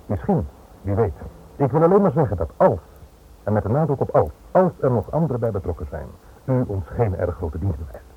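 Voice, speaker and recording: An actor playing a guarded Dutch police inspector in a radio play, formal, measured and quietly insistent, on an old recording. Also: male voice, lowish voice, very animated, breathy edge, exaggerated voice. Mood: insistent warning. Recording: muffled phone-like old radio recording, noisy.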